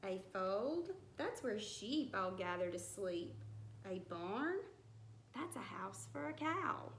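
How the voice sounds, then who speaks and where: Woman, primary teacher, children's read-aloud, singsong rhymes, unhurried delivery, echoey room, faint background noise. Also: medium pitch, sing-song. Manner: deliberate, singsong storybook phrasing with playful asides. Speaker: first-grade teacher reading aloud. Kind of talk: read-aloud of a rhyming picture book for young children. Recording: roomy echo with some background noise.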